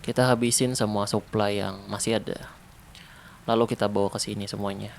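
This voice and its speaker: A man voicing a protective ex-special-forces survivor in a zombie apocalypse, talking softly and calmly in an ASMR roleplay. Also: lowish voice, vocal fry, a little hiss or hum. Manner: soft, calm, unhurried, intimate. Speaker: roleplay voice actor.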